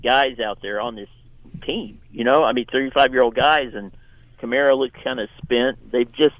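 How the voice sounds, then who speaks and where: A man giving conversational sports analysis as a radio guest, often repeating and restarting his phrases in a halting way. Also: medium pitch, fairly bright tone, dry recording, dull audio.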